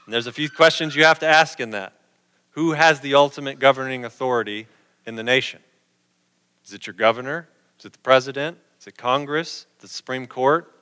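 A man's voice: mid-range voice, bright, forceful tone, smooth, big-room sound. A middle-aged man preaching a sermon in a conversational way, posing questions to his listeners.

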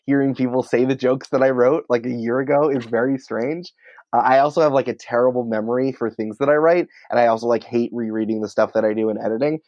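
A man talking casually as an interview guest, in an excitable, rambling, informal way peppered with filler words. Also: medium pitch, fast talker, no echo, muffled recording. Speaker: podcast writer and producer, interview guest.

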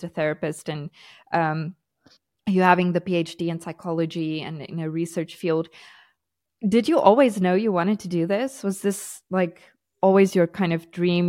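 A woman's voice: on the low side, darkish tone. A woman from South Africa with a South African accent tinged with American, co-hosting a casual podcast chat and laughing easily. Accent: South African, partly American. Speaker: podcast co-host.

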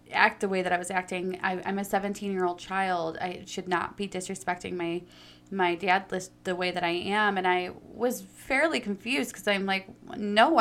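A woman's voice: medium pitch, fairly bright tone. A young adult woman speaking candidly about her own life, earnest and emphatic, stretching out her stressed words. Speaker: personal storyteller. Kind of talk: candid personal talk.

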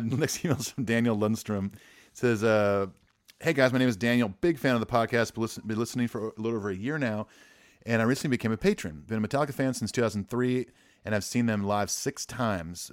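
An easygoing man with a lowish voice co-hosts a podcast, reading listener emails aloud and chatting in an agreeable way. The recording is dry and close. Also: quick pace, no room sound. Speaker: podcast co-host and musician.

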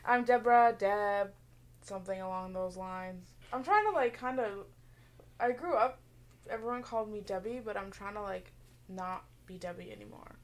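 A woman's voice: mid-range voice, moderate pace. A woman co-hosting a podcast in casual, joking banter, rambling and playfully sarcastic. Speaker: podcast co-host.

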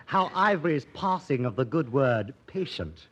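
An actor playing a jovial, snobbish, money-minded doctor, hearty and breezy in old radio drama style. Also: male voice, mid-range voice, sing-song, moderate pace. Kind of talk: 1940s radio drama acting. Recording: dull, muffled old radio recording.

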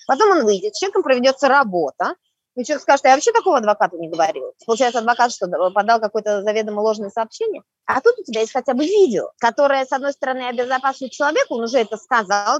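Fast-talking husky woman, high-pitched. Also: dry recording, highs cut off. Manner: emphatic, opinionated, explaining step by step. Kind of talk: spontaneous podcast discussion.

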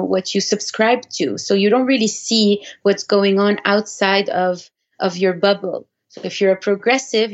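Female interview guest, native French speaker, conversational explainer, mid-range voice, muffled lo-fi audio. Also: mid-range voice. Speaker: interview guest, activist technologist.

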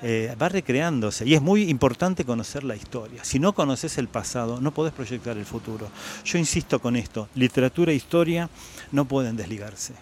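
A man in his sixties speaks with an Argentine accent, his voice sitting at an ordinary middle pitch. He talks reflectively, pausing on "eh" and circling back to repeat words for emphasis. A faint noise hangs behind the recording. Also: lively intonation, darkish tone. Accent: Argentine Spanish. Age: 60s. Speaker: guest writer. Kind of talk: interview at a book fair.

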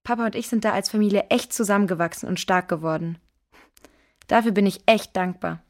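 A woman playing a kind, level-headed girl who reasons calmly and earnestly in a children's audio drama. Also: medium pitch, fairly quick, natural voice. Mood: gentle, earnest.

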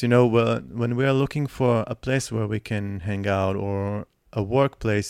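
A man's voice: on the low side, gravelly, no room sound, studio quality. A man, hosting a podcast and telling his own story in an earnest, reflective way. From Israel. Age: 20s. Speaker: podcast host. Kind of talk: personal podcast monologue.

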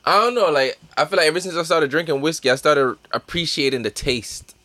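A man's voice: on the high side, brisk, bright, forceful tone, dry recording. A man with a Connecticut accent, co-hosting a casual podcast chat, loose and laughing, swearing freely. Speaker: podcast co-host.

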